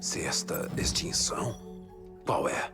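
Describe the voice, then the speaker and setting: A man's voice: takes it slowly, natural voice. A man with a Brazilian accent playing a terse, skeptical courier hero in a dubbed game cutscene, laconic and questioning. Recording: loud music behind voice.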